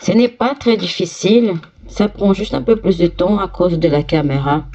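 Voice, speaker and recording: A woman with a cold, her voice stuffy, slowly talking viewers through each step of fitting a part on a sewing machine. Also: on the low side, warm tone, lo-fi sound. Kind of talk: step-by-step workshop demonstration.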